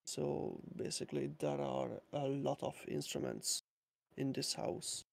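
A young man from Hungary, a Hungarian speaker, talking slowly with many fillers as a guest on a casual online interview. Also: mid-range voice, sing-song, vocal fry. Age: young adult. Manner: slow and hesitant, many uh fillers, nervous laughs. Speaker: guest musician. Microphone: home mic with external sound card.

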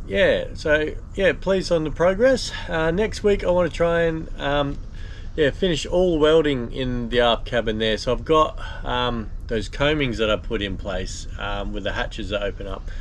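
A man, hosting a boat restoration vlog in a relaxed, chatty way full of ums and uhs. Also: medium pitch, vocal fry, a little hiss or hum. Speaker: boat restorer and channel host. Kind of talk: casual vlog narration. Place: inside a steel boat hull, then an outdoor patio.

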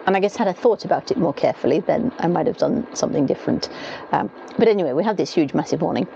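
A woman in her forties talks casually to camera from inside a small caravan. Her voice is low and warm, and she rambles, often stopping to correct herself. The sound is a little dull and lo-fi, with the faint patter of rain on the roof. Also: expressive, quick pace, gravelly, slight background noise. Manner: chatty, rambling, self-correcting. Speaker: caravanning vlogger. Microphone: camera across the table. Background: rain clattering on the roof.

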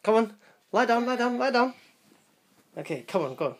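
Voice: medium pitch, big pitch swings, normal speed. Someone playfully chatting with children as host, coaxing them along with short repeated urgings. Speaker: parent-like host prompting children's story. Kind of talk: playful casual chat with children. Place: small bedroom, indoors.

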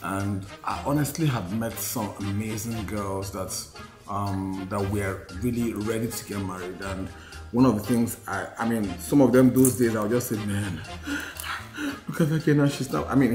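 A man's voice: on the low side, moderate pace, a little mellow. Nigerian man reflecting in a roomy space. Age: 40s. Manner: reflective, repeats phrases for emphasis. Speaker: podcast co-host. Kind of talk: candid personal storytelling in a podcast conversation. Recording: roomy sound with faint music. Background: faint music.

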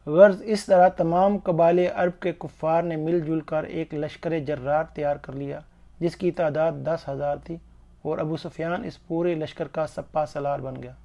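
Male narrator for religious history, slightly high-pitched with a soft, mellow tone, formal and earnest delivery. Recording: dull, muffled lo-fi voice-over.

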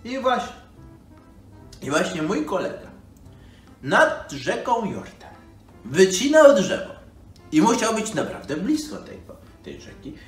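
Man acting as old male storyteller, very slow and animated, folksy, nostalgic, hesitant, roomy distant mic, music behind. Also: high-pitched, exaggerated voice. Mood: animated recounting. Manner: folksy, hesitant, with sighs and filler phrases. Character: rambling old storyteller, once a prophet's pupil, nostalgic. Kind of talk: in-character storytelling for children. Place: living room by a fireplace.

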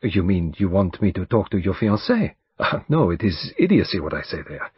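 A man's voice: low-pitched, very animated, moderate pace, breathy edge, no room sound, full character voice. A man reading an audiobook, voicing an elderly Belgian male detective with a French-tinged accent, speaking in a formal, precise way. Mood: measured. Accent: French-tinged English. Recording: dull lo-fi recording.

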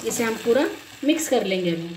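Female home cook, high voice, simple step-by-step recipe narration, echoey kitchen. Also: expressive, a little fast, a little mellow. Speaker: home cook, cooking show host. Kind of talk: casual recipe demonstration. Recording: echoey room.

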